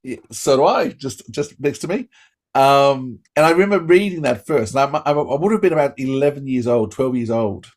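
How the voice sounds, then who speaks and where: A middle-aged man hosts a casual interview in a mid-pitched voice. He talks with eager enthusiasm, often restarting and looping back as he goes. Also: animated, moderate pace. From Australia. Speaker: podcast host.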